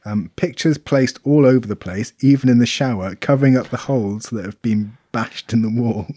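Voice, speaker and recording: A man of ordinary pitch talks in a loose, animated podcast chat, laughing and swearing as he goes. His voice sits close and dry on the microphone, with no room around it. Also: moderate pace, dry recording. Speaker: podcast co-host. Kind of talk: casual chat, reading out reviews.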